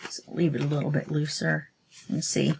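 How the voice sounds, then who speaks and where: A woman's voice: low-pitched, level tone, a little fast, vocal fry, darkish tone, slight background noise, rough recording. A woman with a stuffy nose, talking to herself while she demonstrates a craft project in a casual tutorial. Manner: chatty, self-talking while working, sniffly. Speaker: crafting channel host.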